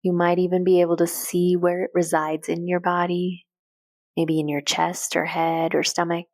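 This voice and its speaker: A woman leading a guided meditation in a calm, gentle, unhurried way with short measured phrases. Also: fairly low pitch. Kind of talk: guided meditation, soft instructional narration.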